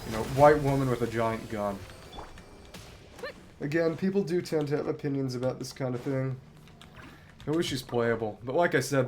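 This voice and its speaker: Low-voiced man commentating on a video game. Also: a little mellow, a little hiss or hum. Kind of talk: casual gameplay commentary, explaining mechanics. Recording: music behind the voice. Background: game music.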